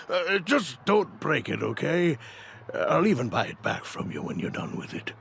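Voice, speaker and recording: A man playing a good-humoured, grandfatherly old shopkeeper in a video game, with a creaky, aged voice. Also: mid-range voice, sing-song, measured pace, a bit bright, slight background noise, exaggerated voice. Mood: reluctant. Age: older. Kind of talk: English video game voice acting.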